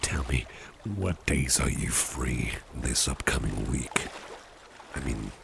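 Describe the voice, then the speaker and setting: A man playing a genial, attentive boss in an ASMR roleplay, unhurried and coaxing, often repeating his phrases. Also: very deep voice, heavily acted. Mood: obliging. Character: genial, attentive male boss, courting his dinner date. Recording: clearly noisy background.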